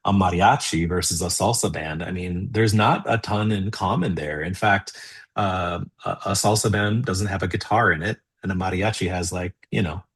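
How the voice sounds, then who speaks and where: A middle-aged man speaking as an interview guest, thoughtful and easy to laugh, animated when explaining. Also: low-pitched, moderate pace, breathy edge. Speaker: guest, composer and theology professor. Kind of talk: conversational interview.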